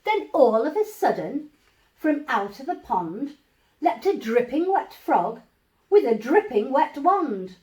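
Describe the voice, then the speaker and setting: A woman reading a bedtime story aloud to young children in an animated, measured, dramatic way. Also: high voice. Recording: roomy echo, distant microphone.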